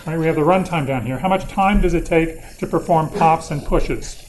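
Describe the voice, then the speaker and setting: Higher-pitched male lecturer, informal. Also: normal speed, background noise. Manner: informal, thinking aloud, playfully wry. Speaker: university lecturer. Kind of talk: interactive lecture, posing questions to students. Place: classroom or lecture room.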